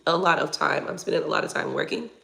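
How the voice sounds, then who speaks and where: A woman in her forties talks to her live-stream viewers in a loose, chatty way, cursing now and then. Her voice has a gravelly fry at the bottom. She is under the weather and keeps clearing her throat. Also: low voice, fast talker, bright, forceful tone. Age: 40s. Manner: chatty and candid, swears casually. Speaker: tarot reader hosting a live stream. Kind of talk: casual chat to viewers, reading comments.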